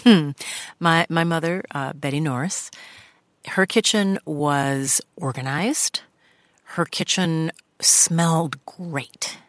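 A woman journalist with a low voice, reminiscing in a radio studio interview. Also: takes it slowly, dry recording. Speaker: journalist and podcast host, as guest. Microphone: studio microphone.

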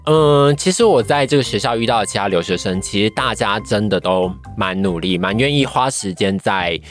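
A woman's voice: rumbling low, lively intonation, normal speed, faint music behind. A woman with a Taiwanese Mandarin accent, a podcast guest giving a casual personal account and often searching for words. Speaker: podcast guest, voice acting trainee.

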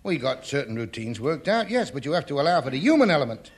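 An actor playing a shady, breezy Cockney wrestling promoter in 1950s British radio comedy, talking fast in matter-of-fact slang. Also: male voice, a little high, big pitch swings. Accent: London Cockney. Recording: noisy old radio recording.

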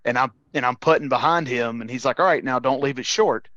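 A man with a mid-pitched voice hosts a casual podcast interview. He is agreeable and tends to echo what he has heard before adding to it. The sound is dry and close but somewhat dull. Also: brisk, a bit bright. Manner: agreeable, echoes the guest's words. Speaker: podcast host.

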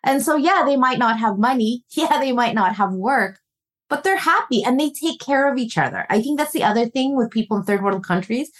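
Earnest woman relationship coach, higher voice. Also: animated, fairly quick. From Filipino family, raised in North America. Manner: earnest, reflective, storytelling with warm laughs. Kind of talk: podcast interview conversation.

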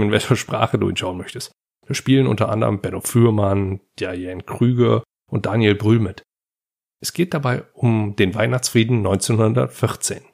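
A man's voice: fairly low pitch, vocal fry, darkish tone. A man from Germany hosting a podcast, delivering a scripted advice monologue that speaks directly to the listener. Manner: direct address to the listener, anecdotal. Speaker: podcast host.

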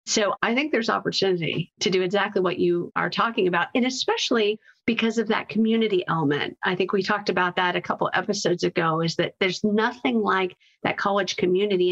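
A woman's voice: medium pitch, a bit bright, muffled recording. A woman answering conversationally as a podcast interview guest. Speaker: podcast guest, marketing executive.

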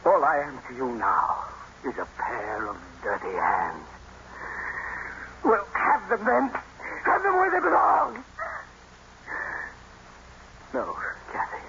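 A noisy, muffled vintage radio recording of a tormented young man, a brooding stable hand. His theatrical delivery swings widely in pitch with intense feeling. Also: huge pitch swings, unhurried, creaky, heavily acted. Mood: anguished. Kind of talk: 1950s radio drama acting.

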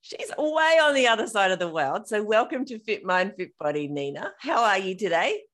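A woman hosting a running podcast, chatting in a bubbly, animated way and laughing often. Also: mid-range voice, normal speed. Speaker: podcast host. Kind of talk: casual interview chat.

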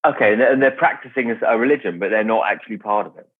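A man casually asking interview questions in a halting way, often rephrasing, over a tinny call. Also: lowish voice. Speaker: podcast interviewer.

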